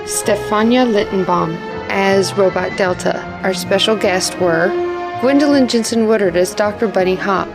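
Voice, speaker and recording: A female announcer delivers scripted cast credits for an audio production. Her voice is middle-pitched, and music plays clearly beneath it. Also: normal speed.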